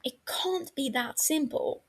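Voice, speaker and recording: A woman's voice: high-pitched, slow, pitched above the performer's own voice. A woman voicing an anxious, prickly young man who gets defensive and exasperated, raising his voice and stammering when upset.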